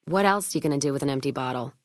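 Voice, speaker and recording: A woman's voice: a bass voice, racing, dry recording, clean studio sound. A woman voicing a hard-drinking, world-weary caravan trader in a video game, speaking bluntly with wry, folksy phrasing. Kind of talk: video game voice acting, scripted dialogue.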